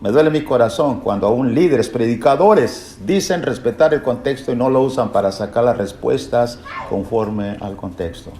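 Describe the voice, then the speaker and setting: A man's voice: medium pitch, normal speed, few pauses, warm tone. Guy teaching a bible lesson, emphatic preacher style, asks and repeats, roomy echo w/ a bit of noise. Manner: didactic, emphatic, repeats key phrases. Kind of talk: Bible lesson sermon with rhetorical questions. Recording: echoey room, faint background noise.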